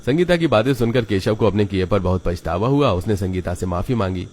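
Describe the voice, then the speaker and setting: Low-pitched male children's story narrator. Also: expressive, a little fast, a little mellow.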